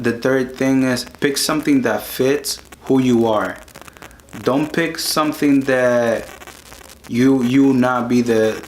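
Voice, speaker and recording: A man from the Dominican Republic with a Dominican Spanish accent, teaching an online course in a casual, halting way that repeats words. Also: medium pitch, a little hiss or hum, poor audio. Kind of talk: casual advice lesson.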